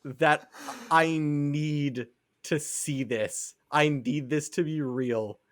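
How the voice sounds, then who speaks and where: A man's voice: a little high, fairly even intonation, extremely slow, a bit bright, no echo, studio quality. A man co-hosting a casual podcast, talking in a theatrical, mock-dramatic way. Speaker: podcast co-host. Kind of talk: casual chat.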